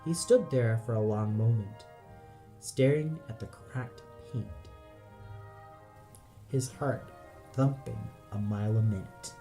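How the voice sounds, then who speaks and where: A man's voice: on the low side, slow pace, long pauses, dark tone, rough recording. A man reading dramatic scripted narration for an audio play. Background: music.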